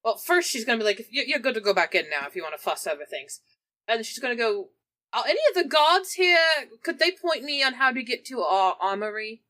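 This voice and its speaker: A woman's voice: on the high side, sing-song, brisk, bright, forceful tone. A woman playing a tabletop roleplaying game, casually narrating and speaking for her character.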